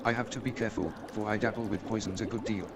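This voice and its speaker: A man's voice: fairly low pitch, steady tone, fast talker, a crowd in the background, natural voice. A man playing an eager, self-assured amateur detective and chemist in a staged radio play, speaking in an emphatic, pedantic way. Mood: brisk, candid. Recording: noisy with watery background sound.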